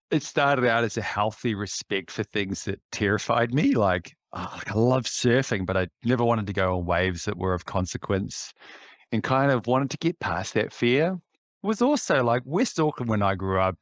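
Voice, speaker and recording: A man's voice: lowish voice, dry recording, studio quality. Reflective New Zealand man in his fifties. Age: 50s. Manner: reflective, with light laughs and frequent restarts. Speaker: podcast guest. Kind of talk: casual interview chat. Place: indoor office. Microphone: headset on a video call.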